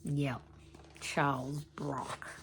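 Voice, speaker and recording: A woman reading a children's story aloud in an expressive, dramatic teacherly way, with asides to young listeners. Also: low-pitched, extremely slow, a little hiss or hum. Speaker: teacher reading aloud to primary school students.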